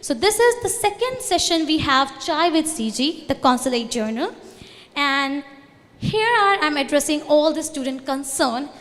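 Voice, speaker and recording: A woman's voice: high-pitched, animated, unhurried, fairly bright tone. A young woman from India with an Indian accent, for whom Hindi is her first language, giving an earnest conference presentation with loose phrasing and restarts. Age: young adult. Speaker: student organization representative. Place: large convention hall. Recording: reverberant hall.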